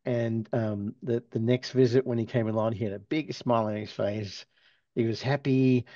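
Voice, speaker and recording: Mellow Australian man in his sixties. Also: medium pitch, moderate pace, dry recording. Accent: Australian, softened toward American. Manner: relaxed, chuckles while telling stories. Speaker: physical therapy professor, guest. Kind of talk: storytelling interview answers. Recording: dull lo-fi sound.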